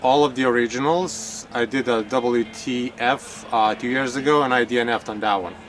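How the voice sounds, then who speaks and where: A man from Lithuania, a bikepacking racer giving short interview answers in a halting way with small restarts. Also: medium pitch, fairly even intonation, noisy background.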